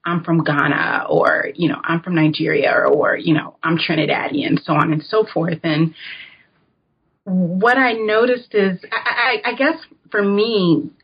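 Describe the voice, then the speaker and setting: A woman's voice: lowish voice, unhurried, breathy edge, dull audio. An American woman answering interview questions as an academic guest, in a reflective, informal, explanatory way. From United States (North Carolina). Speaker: guest, author and political science academic.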